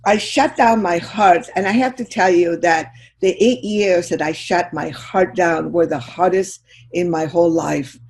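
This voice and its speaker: A woman's voice: fairly low pitch, unhurried, a little mellow. An older woman from Chile, a guest speaker giving a heartfelt, reflective talk on a video call.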